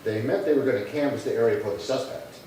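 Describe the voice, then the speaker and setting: A man playing a terse, deadpan police detective sergeant in a 1950s-style radio crime drama, clipped and businesslike. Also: medium pitch, quick pace, close to an everyday voice. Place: live theatre performance space. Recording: very echoey hall, distant, faint noise.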